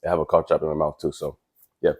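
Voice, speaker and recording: A man's voice: a bass voice, flat delivery, fast, a little mellow, slightly rough. A man who works as a welder, talking casually and frankly to camera, his voice stuffy from a cold. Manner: earnest, plainspoken and frank. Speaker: welder and tradesman vlogger.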